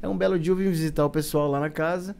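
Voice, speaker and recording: A Brazilian man speaks very quickly in a fairly high voice. He is an interview guest telling anecdotes casually, slipping into colloquial retellings of conversations. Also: vocal fry, smooth. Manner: colloquial, animated retelling with quoted dialogue.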